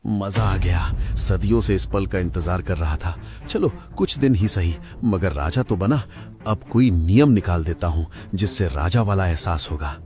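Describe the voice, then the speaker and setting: A man voicing a scheming, sickly wolf who craves a crown, theatrical and self-important, in an animated moral story. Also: low voice, measured pace. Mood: smug.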